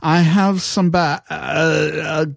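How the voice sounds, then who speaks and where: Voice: mid-range voice, steady tone, slow, vocal fry, no room sound. A performer acting a jaded, impatient villainous interviewer with a curt, dry, formal manner in an amateur audio drama. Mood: measured.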